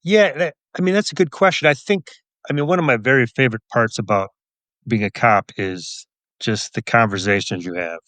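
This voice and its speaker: A man's voice: medium pitch, normal speed, a bit bright, no echo. A middle-aged man answering as an interview guest in a casual, wry, understated way. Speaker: guest, crime novelist and police officer.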